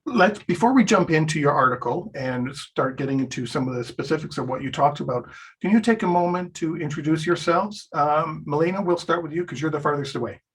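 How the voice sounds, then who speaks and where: Husky-voiced male podcast host. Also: on the high side, brisk, low-quality recording. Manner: friendly, upbeat introductions. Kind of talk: podcast hosting.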